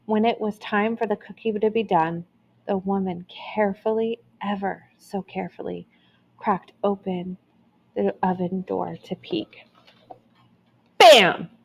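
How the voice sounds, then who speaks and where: A woman storyteller reading a children's tale aloud in an expressive, deliberate way with dramatic emphasis. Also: mid-range voice, vocal fry, muffled recording, a little hiss or hum.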